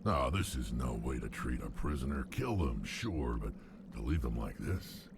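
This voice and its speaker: An actor voicing a huge, gruff alien warrior in a video game, with a gravelly, menacing growl. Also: male voice, sing-song, moderate pace, very noisy, heavily acted. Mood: grim, disgusted. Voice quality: very deep, gravelly. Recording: loud music behind the voice.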